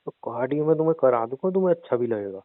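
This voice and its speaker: A man's voice: mid-range voice, animated, rapid-fire, dry recording. A young man teasing his girlfriend in a comedic phone call, playful and mocking. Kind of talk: scripted comedic phone banter.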